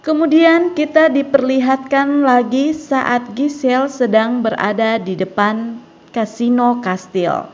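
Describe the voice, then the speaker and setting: Woman narrator for a film plot recap; unhurried, careful read over soft background music. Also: fairly high pitch, muffled recording. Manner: slow, measured reading aloud. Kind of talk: scripted story narration.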